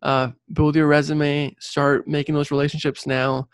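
A man's voice: a little high, little pitch movement, normal speed, clear, resonant tone, no echo. A young man whose first language is Spanish, talking casually and earnestly as an interview guest, repeating points for emphasis. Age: late 20s or 30s. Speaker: interview guest, civil engineer and career coach.

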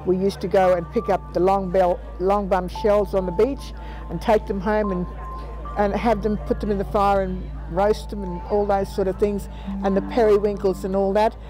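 A woman's voice: medium pitch, flat delivery, moderate pace, music in the background, poor audio. An elderly Australian woman in her seventies telling her views in a reflective, plain-spoken interview, repeating phrases for emphasis. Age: 70s. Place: outdoors on a planted patio. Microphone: lapel mic.

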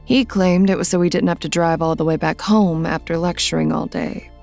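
A middle-aged woman playing a bitter, guarded widowed mother in an audio drama, curt and sardonic, with a gravelly voice. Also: fairly low pitch, steady tone, fairly quick, a little mellow, faint music behind.

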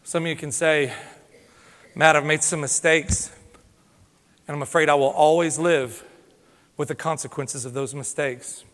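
A pastor preaching a fervent sermon, swinging from loud exhortation to hushed, confessional pleading. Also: male voice, on the high side, fairly even intonation, a little slow, long pauses. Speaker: lead pastor. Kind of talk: impassioned sermon. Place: church sanctuary. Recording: long hall reverb from a church sanctuary.

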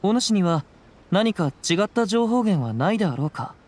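A man playing a gentle, humble young wandering samurai in an anime game, with calm, courteous, archaic speech. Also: sits high, normal speed, a little hiss or hum. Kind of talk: Japanese anime game dialogue. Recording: music under the voice.

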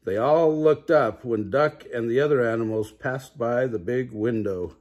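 Older male storybook reader, husky and unhurried, playful, animated delivery. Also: mid-range voice. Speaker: grandfather reading picture books aloud. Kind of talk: expressive children's read-aloud narration. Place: living room couch, indoors.

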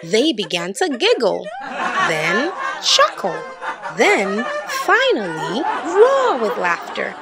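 A woman giving an expressive children's storybook read-aloud, putting on voices for the characters. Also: a little high, slow pace. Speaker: storybook reader.

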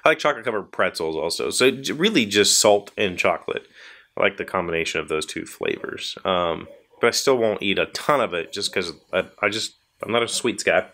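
A man's voice: low-pitched, animated, brisk, sharp tone. A man in his forties with an American accent, chatting casually and in a rambling, self-deprecating way as the host of a video show. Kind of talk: casual chat to viewers. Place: small indoor workshop crowded with tools.

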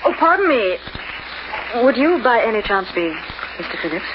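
Coy noir femme fatale, expressive pitch. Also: female voice, moderate pace. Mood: polite, eager. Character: coy, scheming banker's wife, femme fatale. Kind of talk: old-time radio noir acting. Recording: noisy, muffled old radio recording.

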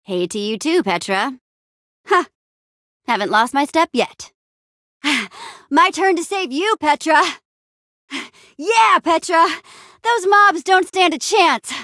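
Young female game adventurer: high, very animated, plucky and expressive. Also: slow, pauses often, no room sound, studio quality. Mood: triumphant, playful. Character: spirited young female adventurer and town leader. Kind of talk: video game voice acting.

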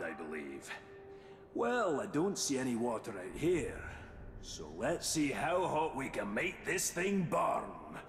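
An actor playing a wry, practical inventor in a video game, speaking conversationally as he shows off weapons. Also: medium pitch. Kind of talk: video game character acting. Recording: echoey reverberant hall, faint music.

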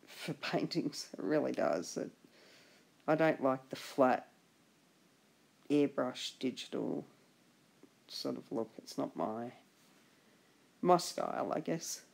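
A woman art instructor narrates a drawing lesson in a relaxed, chatty voice-over. Her voice is husky and gravelly from a chest infection. She keeps an encouraging tone and often trails off before restarting a thought. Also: rumbling low, takes it slowly, pauses often.

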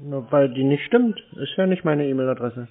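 Deadpan man on a thin phone line. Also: medium pitch, moderate pace. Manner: terse, deadpan. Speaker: prank-minded scam call recipient. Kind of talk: casual phone conversation. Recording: muffled narrow-band phone audio.

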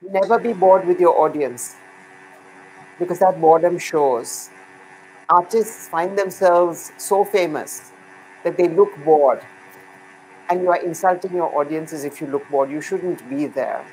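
A middle-aged woman with an Indian accent and a somewhat low voice. She speaks fluently, confidently and with emphasis. The sound comes through a webcam on a video call. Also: unhurried, dark tone, a little hiss or hum, low-quality recording. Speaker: guest dancer. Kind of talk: video call panel talk. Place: indoor room. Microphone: laptop webcam on a video call.

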